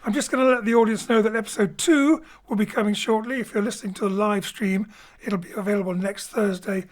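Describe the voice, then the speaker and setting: Woman host, normal pitch, chatty announcements to listeners. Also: steady tone, a little fast, a little mellow. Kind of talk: live announcement and chat.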